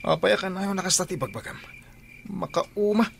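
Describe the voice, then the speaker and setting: Irritable Ilocano husband, noisy track. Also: male voice, high voice, moderate pace, gravelly. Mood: irritated. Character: Arnold, irritable, defiant, dismissive husband. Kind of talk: Ilocano radio drama acting.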